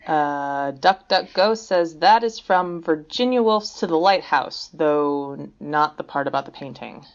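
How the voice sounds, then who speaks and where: A woman playing a dry, level-headed academic who teases in a deadpan way, in an audio drama. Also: low-pitched, unhurried, lo-fi sound.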